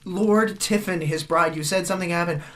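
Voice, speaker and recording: A woman's voice: fairly low pitch, moderate pace, fairly bright tone, close to an everyday voice. A woman playing a polite, flustered young man visiting a village in a comic audio drama. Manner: polite, hesitant, increasingly exasperated.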